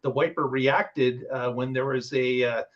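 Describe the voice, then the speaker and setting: A man's voice: medium pitch, steady tone, unhurried, lo-fi sound. A man hosting a casual panel discussion, explaining things as he goes. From Canada. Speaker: show host.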